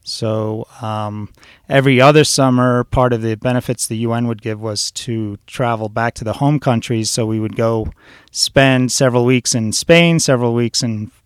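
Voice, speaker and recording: A man's voice: mid-range voice, moderate pace, clean tone, dry recording, studio quality. A man guesting on a talk show, chatting loosely and rambling through stories with lots of ums and uhs. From born in Switzerland, raised in New York City. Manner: relaxed, rambling storyteller with frequent fillers. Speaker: chef guest. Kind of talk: casual interview talk.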